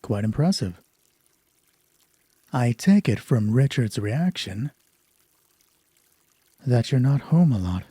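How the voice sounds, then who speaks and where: Calm male marriage counselor, acted by a narrator, polite and placating, husky and slow, audio drama. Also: medium pitch, no echo, exaggerated voice. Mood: probing. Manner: measured, probing, placating.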